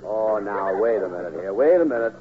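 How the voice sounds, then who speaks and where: Gullible dim fellow, played by a man, swooping pitch. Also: animated, lightly acted. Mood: doubtful, puzzled. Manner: slow-on-the-uptake, plain folksy questioning. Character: gullible, slow-witted male car buyer, growing suspicious. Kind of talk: 1940s radio comedy acting. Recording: noisy, narrow-band old radio recording.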